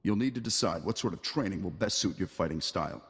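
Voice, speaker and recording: Deep, husky training master. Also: male voice, animated, quick pace, full character voice. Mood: dry. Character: dry, gruff male training master. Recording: long reverb.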